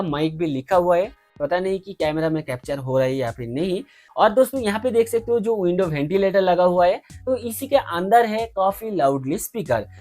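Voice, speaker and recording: A man's voice: high-pitched, a little mellow. A man hosting a tech review, explaining a product step by step in an instructional, friendly-address style with repeated stock phrases. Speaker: tech review channel host. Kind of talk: step-by-step product demonstration to camera. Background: faint background music.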